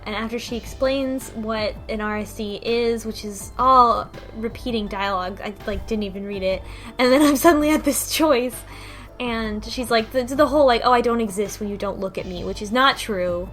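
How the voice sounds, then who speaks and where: A woman's voice: a little high, fairly even intonation, normal speed, a bit bright, soft background music. A woman co-hosting a casual book club discussion about a game, recounting a story with wry asides. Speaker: podcast co-host.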